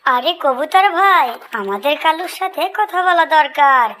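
A woman's voice: high-pitched, animated, unhurried, pushed and bright, heavily acted. A woman voicing a small, sensible bird in a children's cartoon, earnest and admonishing.

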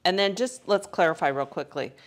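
A woman's voice: lowish voice, lively intonation, brisk. A middle-aged woman asking curious, chatty questions while helping cook in a fire station kitchen. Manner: curious, chatty, uses "you know, like". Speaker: interviewer. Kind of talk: casual interview while cooking.

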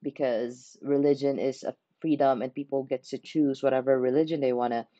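A young woman talks slowly and thoughtfully, stopping now and then to find the right words. Her voice is warm and dark in tone. The sound is dry and a little dull, as if she recorded it through a headset at her laptop in a small room. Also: very deep voice, steady tone, no room sound. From Philippines. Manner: unhurried, pausing to search for words. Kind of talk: unscripted reflective monologue. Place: small indoor room by a window.

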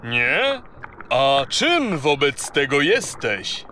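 A man's voice: fairly high pitch, very animated, very slow, sharp tone, a little hiss or hum, heavily acted. A male actor playing a proud, imperious yet gracious sea king in a children's audio play, with a theatrical, courtly manner. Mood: courtly, curious.

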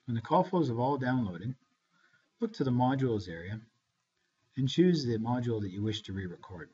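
Guy doing a how-to software voiceover, measured step by step, kinda dull lo-fi mic sound. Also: medium pitch, lively intonation, husky. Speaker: software tutorial instructor. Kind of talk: step-by-step scripted tutorial narration. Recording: dull, lo-fi screencast voice-over.

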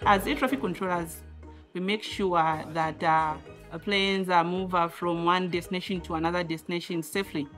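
Low-voiced Zambian woman with halting phrases. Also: steady tone, fairly bright tone. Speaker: air traffic controller. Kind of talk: explanatory interview. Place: radar control room, indoors. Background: background music.

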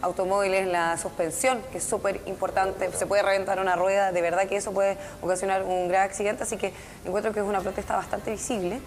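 A woman's voice: mid-range voice, level tone, quick pace, few pauses, slight background noise. Co-host woman in reverberant studio. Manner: conversational commentary.

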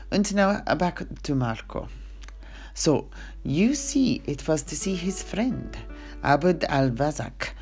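Slow, theatrical male storyteller over music. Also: on the low side, vocal fry. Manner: dramatic pauses, addresses the listener directly. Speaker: storyteller, in-universe narrator. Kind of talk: theatrical scripted fantasy history narration.

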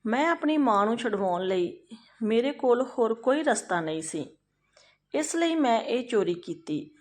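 A woman's voice: on the high side, expressive, measured pace, a little mellow. A woman storyteller voicing a troubled young male thief, plain and submissive, in a folk tale. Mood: confessing.

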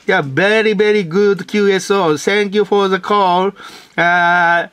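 A man's voice: high voice, steady tone, slow pace, a bit bright, clear, resonant tone, no echo. A man with a Japanese accent, a native Japanese speaker, chatting over amateur radio as an operator. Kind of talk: ham radio contact chat. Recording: noisy room with radio hiss.

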